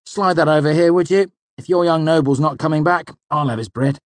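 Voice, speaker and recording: A man's voice: on the high side, a little fast, no echo, pitched above the performer's own voice, close to an everyday voice. A man voicing an eager, earnest young male student in a storybook, polite and a little hedging. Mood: easy, polite.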